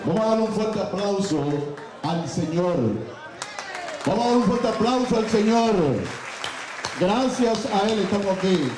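Un hombre de voz aguda conduce una gala con el fervor de un predicador, dando bendiciones y pidiendo aplausos. Se le oye por micrófono de mano en un salón grande que resuena. Detrás se oye algo de público. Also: male voice, high voice, a little slow, pauses often, background noise. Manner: emphatic, blessing the crowd, rousing applause. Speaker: event host. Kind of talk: preacher-like stage address. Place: large banquet hall, on stage. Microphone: handheld microphone. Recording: echoey banquet hall.

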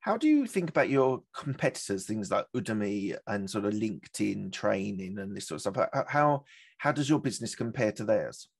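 A man's voice: on the low side, sing-song, normal speed, gravelly, slightly rough, dry recording, clean studio sound. A man hosting a business podcast interview, asking questions in a chatty way with rambling asides. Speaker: podcast host.

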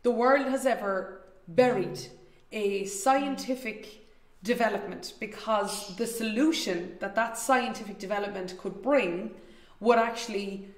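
A woman's voice: medium pitch, unhurried. A woman, hosting an interview over a video call and rambling through long, restarting questions. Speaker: interview show host. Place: home room, indoors. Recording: roomy video call audio.

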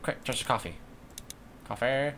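A man's voice: medium pitch, expressive, moderate pace. A man doing casual let's play commentary, making joking, muttered remarks as he plays. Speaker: gamer. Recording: game noise loud behind the voice.

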